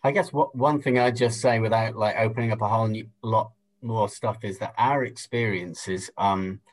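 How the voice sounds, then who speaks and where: A man speaking as a podcast guest in reflective conversation, thoughtful, measured and halting. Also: lowish voice, fairly even intonation, rough recording.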